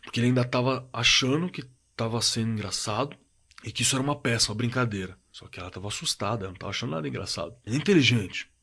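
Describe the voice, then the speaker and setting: Actor as shaken Brazilian man, slightly husky voice. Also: mid-range voice, pushed and bright, full character voice. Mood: agitated. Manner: halting, repeating words, colloquial. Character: shaken young man recounting a frightening cabin night. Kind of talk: dramatized first-person horror story, told conversationally.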